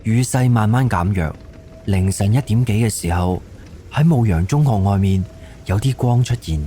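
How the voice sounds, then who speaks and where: A man's voice: lowish voice, lively intonation, moderate pace, slight background noise. A man with a Cantonese accent narrating a scripted suspense ghost story for an audio drama. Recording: soft music under the voice. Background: background music.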